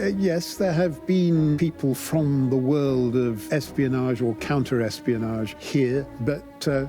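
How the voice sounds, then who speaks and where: A man who heads a university college, speaking in a relaxed interview with dry, self-deprecating humor. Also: mid-range voice.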